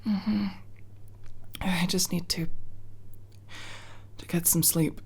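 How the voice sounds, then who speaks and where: Low, halting woman acting a tomboyish young friend. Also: steady tone, husky, heavily acted. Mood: sleepy, tender. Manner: halting, hesitant, mumbly casual delivery with trailing pauses. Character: awkward tomboyish young woman, tsundere friend, tired and fond. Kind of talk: intimate ASMR roleplay acting.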